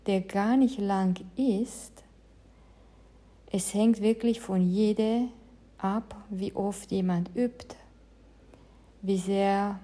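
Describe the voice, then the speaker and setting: A woman leads a meditation talk in a slow, unhurried delivery. Her voice is mellow and soft-edged, and her pitch stays level and even. She picks her words with the care of a non-native speaker. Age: adult, past her teens. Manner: careful, measured speech of a non-native speaker. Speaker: meditation teacher. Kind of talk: calm spoken introduction to meditation.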